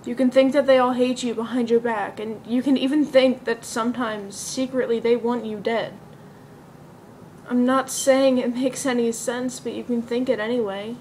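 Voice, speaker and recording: A woman performing an amateur stage monologue as a depressed young woman, confiding earnestly and deliberately, recorded on an iPod. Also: fairly high pitch, fairly even intonation, darkish tone, slight background noise, natural voice, poor audio. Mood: subdued, confiding.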